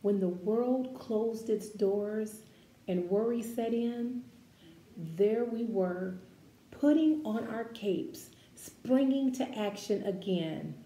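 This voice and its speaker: A woman reads a picture book to children in an encouraging, expressive way. Her voice is mellow and her delivery slow and deliberate, leaning on key words. The room sounds echoey around her. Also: mid-range voice. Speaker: children's story-time reader. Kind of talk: picture-book read-aloud with asides to children. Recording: echoey room with faint background noise.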